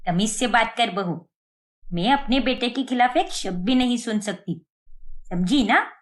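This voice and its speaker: A woman's voice: fairly high pitch, lively intonation, unhurried. A woman playing a stern older mother-in-law in a cartoon moral story, scolding and dismissive as she defends her son.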